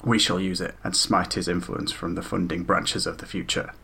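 A man acting a proud, defiant city lord in a comic pantomime, with a gravelly, grandiose delivery. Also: sits low, flat delivery, normal speed, sharp tone, full character voice. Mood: grave, resolute. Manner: grandiose, declamatory.

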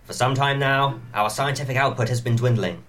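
A man playing a stately elf lord, addressing a council formally and gravely in an amateur comic pantomime. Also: mid-range voice, brisk, fairly bright tone, close to an everyday voice. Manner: formal, oratorical.

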